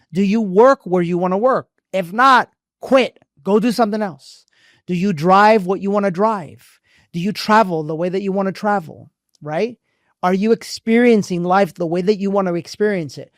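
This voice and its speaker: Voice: mid-range voice, no echo, clean studio sound. A podcast co-host who speaks bluntly and emphatically, swears freely and uses a preachy, motivational delivery. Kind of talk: casual relationship podcast chat.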